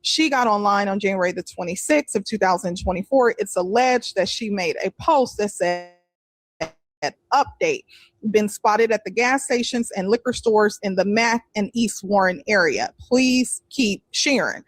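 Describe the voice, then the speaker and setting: A woman's voice: medium pitch, unhurried, dry recording. A woman narrating true-crime commentary from a script.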